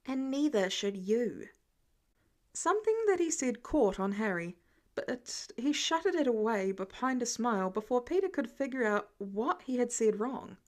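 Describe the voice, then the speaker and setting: A woman reads a story aloud as its narrator. Her voice sits a little high, and the delivery is that of scripted fiction narration. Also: big pitch swings, normal speed. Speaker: podfic narrator.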